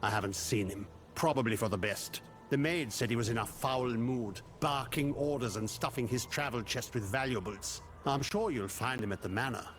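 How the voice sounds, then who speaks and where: A man's voice: medium pitch, moderate pace, natural voice. A man voicing a dry, disapproving town guard in a video game. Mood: dry, weary. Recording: loud background noise.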